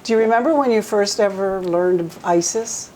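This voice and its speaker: Mellow-voiced woman hosting a talk show. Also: medium pitch, moderate pace, slight background noise, poor audio. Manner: leading, chatty questioning with restarts. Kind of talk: conversational interview.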